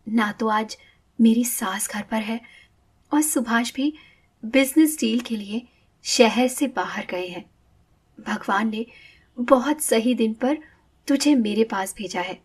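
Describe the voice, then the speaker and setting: A woman playing a weak, mistreated elder sister in a moral story, talking in a soft, halting, sorrowful voice. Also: a little high, slightly rough. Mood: weary, sorrowful.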